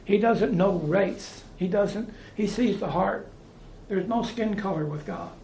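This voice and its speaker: A man's voice: sits high, expressive, unhurried, vocal fry, darkish tone, roomy sound, a little hiss or hum. An American man preaching informally, talking in a conversational way, repeating phrases and restarting his sentences. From United States.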